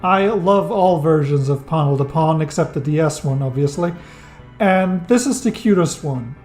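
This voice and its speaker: A man's voice: fairly high pitch, expressive, normal speed, a little mellow, faint music behind. A thirty-year-old man, a non-native English speaker, narrating a scripted game retrospective in a wry, enthusiastic way with dry jokes. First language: not English. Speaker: game reviewer. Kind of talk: scripted video-essay narration.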